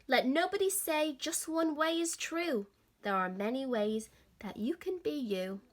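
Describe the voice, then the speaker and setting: A woman reading aloud, voicing a gentle, thoughtful male lion in a soft, earnest way. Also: sits high, animated, measured pace, voice pushed up.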